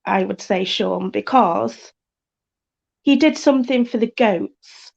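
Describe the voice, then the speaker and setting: A woman's voice: medium pitch, takes it slowly, gravelly, a little mellow. A woman with an English accent calling in, speaking hesitantly with many fillers. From United Kingdom. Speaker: caller. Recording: muffled call audio.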